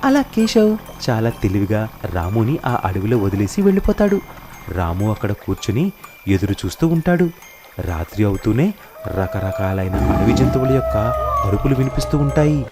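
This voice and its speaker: నీతి కథను రాసిన పాఠంలా వివరిస్తున్న పురుష వ్యాఖ్యాత. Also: male voice, lowish voice, sing-song, normal speed, over music. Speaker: narrator. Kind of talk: scripted moral story narration.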